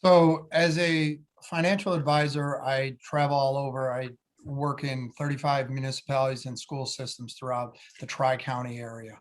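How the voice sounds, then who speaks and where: A man's voice: medium pitch, little pitch movement, moderate pace. A middle-aged American man, a political candidate, giving an answer at a candidate forum. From Dearborn, Michigan, US. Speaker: mayoral candidate, financial advisor.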